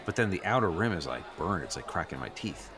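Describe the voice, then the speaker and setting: A man playing an earnest teenage new student in an improvised radio play, talking haltingly in casual teen slang. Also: low-pitched, big pitch swings. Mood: incredulous.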